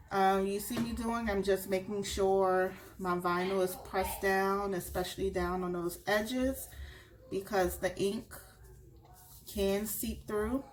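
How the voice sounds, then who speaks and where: A woman talking through a craft tutorial at home while she works, a bit sniffly from allergies. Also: mid-range voice. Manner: slow, relaxed, talks while working. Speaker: crafter showing a tumbler project.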